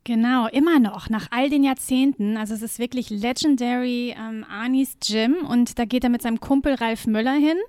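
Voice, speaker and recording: A woman's voice: fairly high pitch, moderate pace, hardly any pauses, a little mellow, no room sound, studio quality. A German woman co-hosting a podcast, chatting casually with her co-host and often using fillers and laughing. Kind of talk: casual chat. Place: home room with windows closed.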